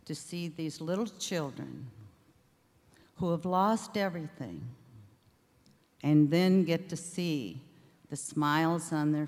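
A woman's voice: sits low, a little mellow. An older woman on a theater stage, telling a heartfelt story to an audience at a stand mic and acting out the voices in it. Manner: storytelling, acts out quoted voices. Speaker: charity director. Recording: reverberant hall with audience.

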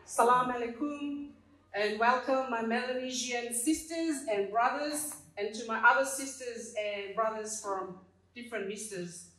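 A woman's voice: a little high, unhurried, a bit bright. A woman in her fifties from Fiji gives a personal speech at a stand microphone, reading from notes with humor and laughing at her own jokes. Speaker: community association president. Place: small dark stage hall. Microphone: stand mic, filmed from a distance. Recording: roomy hall sound.

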